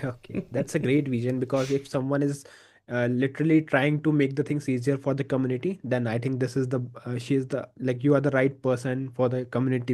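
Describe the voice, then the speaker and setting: A man's voice: medium pitch, mellow. A man hosting an interview podcast in a polite, formal way. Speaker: podcast host.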